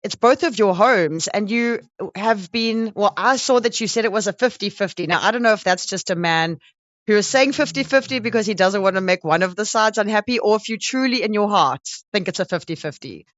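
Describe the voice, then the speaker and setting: A woman's voice: medium pitch, a little fast, a bit bright, no echo, dull audio. A woman with a South African accent hosting an interview in a chatty, informal, effusive way. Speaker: radio or show host interviewing a guest. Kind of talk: conversational interview.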